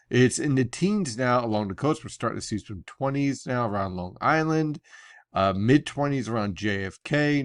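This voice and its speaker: A man's voice: mid-range voice, no room sound, studio quality. An American man giving an unscripted weather briefing as forecaster and host, conversational as he explains technical detail step by step.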